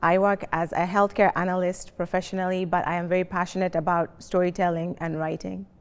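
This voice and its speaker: A woman with a Kenyan accent speaks in a measured, reflective way about her experiences. Her voice sits a little low, and it rings with the long reverb of a big library reading room. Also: little pitch movement, smooth. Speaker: panelist. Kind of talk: reflective discussion. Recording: long reverb of a large hall.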